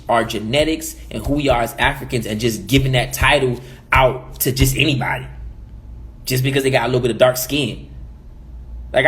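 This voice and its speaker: A man's voice: mid-range voice, fairly bright tone. A man from the Caribbean giving emphatic opinion commentary as a vlogger, preachy and repeating his points.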